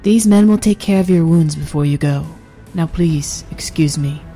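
A woman voicing a composed young princess who speaks in a measured, formal way, with faint music behind. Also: low voice, warm tone. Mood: urgent.

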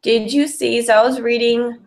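A woman's voice: on the high side, fairly even intonation, normal speed, a little mellow. A woman co-hosting a podcast, chatting casually and enthusiastically about films, peppering her sentences with "like". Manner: enthusiastic, informal, heavy use of "like". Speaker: podcast co-host.

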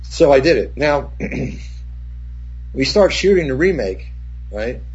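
An older man, a cinematographer, telling rambling, profane set stories with many restarts, in a husky voice. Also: mid-range voice, sing-song, slow pace, darkish tone. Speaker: cinematographer guest. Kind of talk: casual anecdote. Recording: dull lo-fi recording.

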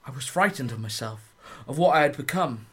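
A man's voice: mid-range voice, animated, brisk, fairly bright tone, natural voice. A man playing a haunted young soldier narrating his war in a radio play monologue. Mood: anguished. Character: haunted young soldier recalling trench warfare. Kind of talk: dramatic first-person radio monologue.

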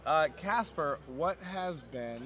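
A man co-hosting a podcast interview, joking around in an animated way. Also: a little high, slow, crowd noise. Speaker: podcast co-host. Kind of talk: casual interview banter.

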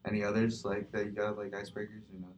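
Young guy podcast interviewer, low voice, talks quick, casual questions, slight noise behind. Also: monotone, darkish tone. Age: young adult. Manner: informal, prompting with questions. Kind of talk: casual chat. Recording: faint background noise.

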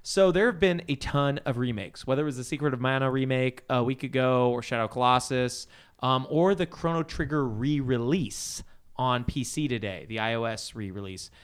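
A man's voice: medium pitch, moderate pace, clear, resonant tone. A man hosting a casual panel chat about video games. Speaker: podcast host.

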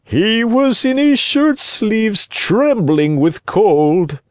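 A sly storybook fox, pitched high and very slow. The words are drawn out and wheedling, with a false courtesy and big swoops in pitch. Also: male voice, big pitch swings, no room sound, clean studio sound, exaggerated voice. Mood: smooth, courteous.

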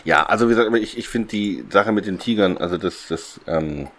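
Low-voiced man in casual podcast talk. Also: brisk, a crowd in the background. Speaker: podcast host.

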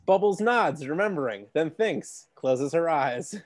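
A man with a deep voice reading stage directions aloud during a comedy script read-through. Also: animated, unhurried, no echo, clean studio sound.

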